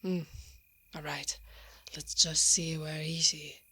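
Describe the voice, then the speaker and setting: A woman playing a brave, sardonic female knight in an ASMR roleplay, breathy and often whispering, muttering dryly to herself. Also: low-pitched, level tone, takes it slowly, sharp tone, heavily acted. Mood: hushed, calculating. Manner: muttering to herself, dry and sarcastic.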